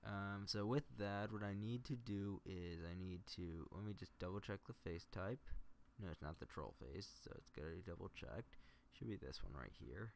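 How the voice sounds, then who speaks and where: A man's voice: deep voice, lively intonation, normal speed, creaky, darkish tone, slight background noise. A man livestreaming a casual, unscripted tutorial, thinking aloud and muttering to himself as he works. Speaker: livestreamer demonstrating video editing. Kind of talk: casual unscripted tutorial commentary while working.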